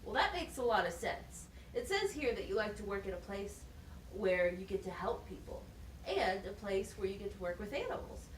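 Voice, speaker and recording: A woman's voice: medium pitch, sing-song, normal speed, close to an everyday voice. A woman voicing an encouraging, methodical teacher in a scripted puppet show, explaining things plainly and asking questions. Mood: patient, explanatory. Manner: instructive, question-led, plain wording. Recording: roomy, distant mic, faint noise.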